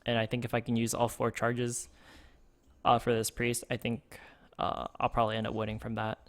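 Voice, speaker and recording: A man's voice: medium pitch, monotone, moderate pace. A man streaming a card game for the first time, thinking aloud with many fillers and restarts, close to his desk microphone. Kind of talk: casual gameplay streaming.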